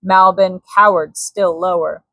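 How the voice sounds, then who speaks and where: A woman reading a scripted bedtime story as a calm audiobook narrator. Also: fairly low pitch, unhurried, no room sound.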